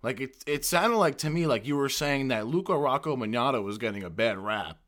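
A man's voice: medium pitch, expressive, fairly quick, fairly bright tone. A man co-hosting a casual podcast, teasing and ribbing the others. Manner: teasing, ribbing his co-hosts. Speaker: podcast co-host. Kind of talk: casual chat.

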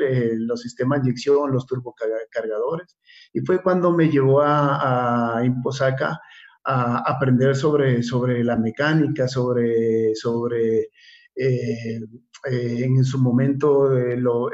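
A man in his fifties from Ecuador with an Ecuadorian accent, a guest telling his story in a video-call interview, halting and repeating words with many fillers. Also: medium pitch, darkish tone. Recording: slightly dull video call audio.

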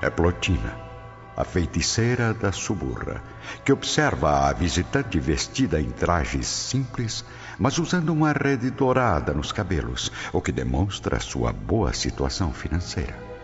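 Very deep, theatrical male narrator. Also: very animated, unhurried. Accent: Brazilian. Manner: solemn, theatrical, formal. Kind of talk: scripted radio drama narration. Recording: dull audio, long reverb, music behind.

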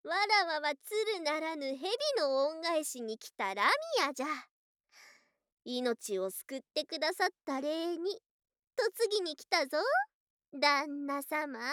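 A high, girlish lamia for an ASMR voice drama, speaking in grand, archaic turns of phrase. The snake spirit is proud yet affectionate and takes her time, in a very dry, close recording. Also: a bit bright, no echo, full character voice. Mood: indignant, proud. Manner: archaic haughty old-fashioned speech, theatrical. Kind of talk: Japanese ASMR situation voice drama.

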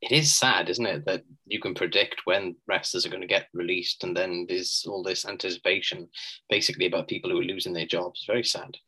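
Low, bright-voiced podcast man. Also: steady tone, husky, poor audio. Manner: measured, thoughtful, analytical. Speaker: podcast co-host. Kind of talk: casual chat.

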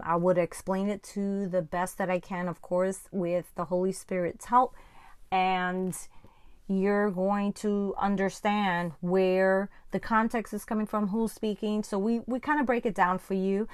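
A woman's voice: fairly low pitch, dry recording. Spanish-accented woman teaching scripture, dry close sound. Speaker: podcast host and Bible teacher. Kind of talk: casual podcast Bible teaching.

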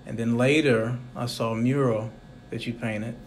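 Soft-spoken young man reminiscing. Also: mid-range voice, fairly even intonation, normal speed, slight background noise. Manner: rambling, reflective, soft-spoken. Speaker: interviewer. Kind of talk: casual conversational interview.

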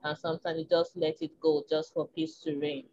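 Small-voiced Nigerian woman, low pitch. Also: big pitch swings, a little fast, vocal fry, dull audio. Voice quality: small, tiny voice. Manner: reflective, frank, with filler ums and soft laughs. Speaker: interview guest. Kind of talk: candid conversational chat.

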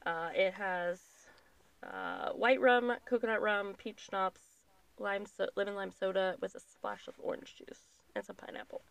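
A woman in her thirties with a gravelly, creaky edge to her voice co-hosts a podcast. She reads out notes and lists at an even, measured pace. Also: mid-range voice. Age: 30s. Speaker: podcast co-host. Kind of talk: casual chat, reading notes aloud.

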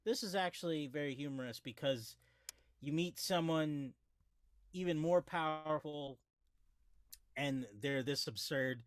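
A man's voice: a little high, unhurried, long pauses, clean tone, no room sound. A man co-hosting a movie review podcast, rambling casually with lots of restarts and fillers. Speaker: podcast co-host.

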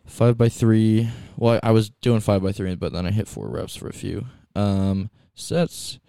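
A man's voice: fairly low pitch, normal speed, creaky, warm tone, clean tone, dry recording, studio quality. A young man co-hosting a podcast, talking about the gym in a laid-back way, with lots of filler words. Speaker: podcast co-host. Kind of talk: casual chat.

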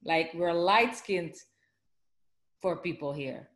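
A woman's voice: on the low side, slow, reverberant. A woman with a Dutch accent, chatting casually in an interview with lots of fillers and restarts. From Netherlands.

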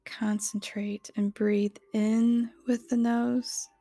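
A woman reading a scripted guided meditation in a soothing, measured, instructional way, with a soft voice that turns breathy at phrase ends. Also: a little high, little pitch movement, no echo, studio quality.

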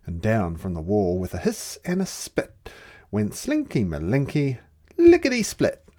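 A storyteller reading a rhyming children's picture book aloud in an expressive, theatrical way. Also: mid-range voice. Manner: theatrical, dramatic delivery.

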